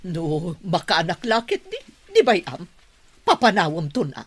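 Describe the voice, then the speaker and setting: A stern, scornful rich mother in middle age, played by a woman for an audio drama. Her voice is low and raspy, with forceful, swooping delivery. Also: big pitch swings, unhurried, full character voice. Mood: scheming, scolding. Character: stern, scornful wealthy mother of a grown son. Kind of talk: Ilocano audio drama acting.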